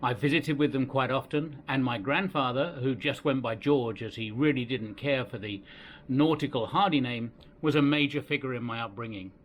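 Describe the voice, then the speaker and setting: A man in his sixties from England with an English accent, narrating his family history from his home in a gentle, unhurried way. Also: mid-range voice, dull audio, slight background noise. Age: 60s. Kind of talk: reflective personal narration. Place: home in the Philippines.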